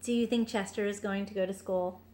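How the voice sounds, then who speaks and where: A woman storyteller reading a picture book aloud to young children, pausing for simple explanations and questions. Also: mid-range voice, steady tone, mellow.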